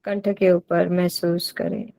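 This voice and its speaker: Slow-paced Indian woman guiding meditation, creaky voice. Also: lowish voice, little pitch movement, a little mellow, no echo. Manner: deliberate, instructing, repeats phrases for emphasis. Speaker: meditation teacher. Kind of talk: guided meditation with chanting.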